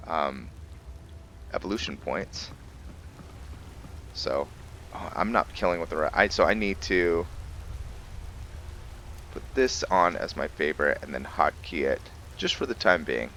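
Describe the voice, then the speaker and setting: A man's voice: sits low, pushed and bright. A man giving casual commentary on a roleplay video game, thinking aloud to himself as he plays. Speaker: gamer hosting a roleplay playthrough.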